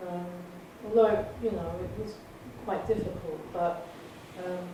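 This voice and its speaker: A woman, a film director, answering an audience question with frequent pauses and fillers. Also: lowish voice, steady tone. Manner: hesitant, filler-heavy. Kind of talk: festival Q&A answer. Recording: echoey hall, distant mic, noisy.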